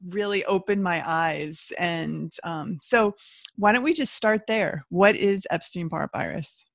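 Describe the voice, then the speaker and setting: A woman's voice: on the low side, measured pace, creaky, no echo, clean studio sound. A woman hosting a podcast interview, chatty and enthusiastic, with frequent fillers. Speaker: podcast host.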